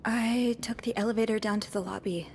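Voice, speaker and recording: Hesitant young hotel maid played by an actress. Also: female voice, on the high side, steady tone, a little mellow, slight background noise, close to an everyday voice. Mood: nervous, guarded. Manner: hesitant, halting. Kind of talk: naturalistic video game acting.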